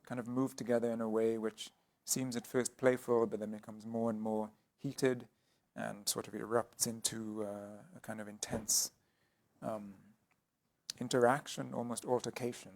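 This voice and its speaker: A man speaking as an artist guest in an interview, explaining his work thoughtfully with frequent pauses and restarts. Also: lowish voice, level tone, vocal fry, a little mellow, a little hiss or hum. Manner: hesitant, many ums and restarts.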